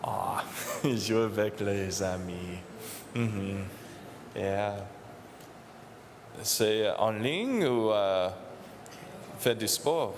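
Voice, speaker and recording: Slow halting priest with English accent. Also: male voice, fairly low pitch, in a large hall, noisy background. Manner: halting, slips English words in. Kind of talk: homily with children.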